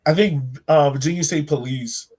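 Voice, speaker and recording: A man's voice: fairly high pitch, normal speed, a little mellow. Informal, slangy man hosting a podcast. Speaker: podcast host. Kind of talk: casual sports fan podcast chat.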